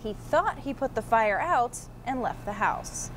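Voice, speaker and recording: A woman's voice: a little high, lively intonation, measured pace, heavy background noise. An American woman reading scripted news as a television anchor.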